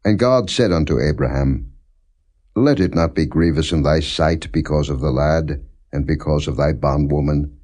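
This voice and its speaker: A man reading scripture as solemn, non-dramatized audiobook narration, in a measured, formal manner with deliberate phrasing. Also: rumbling low, a little mellow. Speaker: scripture reader.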